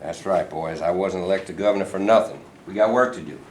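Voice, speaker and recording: A man in a staged reading playing a proud, prickly governor, commanding but slightly halting. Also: deep voice, a little mellow, lightly acted. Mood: authoritative. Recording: roomy echo, faint noise.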